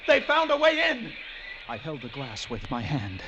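Animated lighthouse keeper recounting horror. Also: male voice, a little high, normal speed, dull audio, noisy background. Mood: urgent alarm. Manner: dramatic, vivid storytelling. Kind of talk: classic radio drama acting, first-person narration. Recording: vintage radio recording with loud music.

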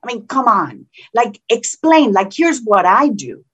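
A woman with a slight Colombian Spanish accent, a state senator talking in an interview in an animated, combative way with frequent restarts. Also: a little high, measured pace, breathy edge, highs cut off. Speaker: state senator, political guest. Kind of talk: casual political interview.

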